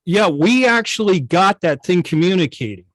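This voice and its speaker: Guy guest on a video chat, voice a bit high, keen hobbyist, no echo. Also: lively intonation, normal speed. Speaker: guest, old-time radio station operator. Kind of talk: casual video call chat. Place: indoors at home on a video call.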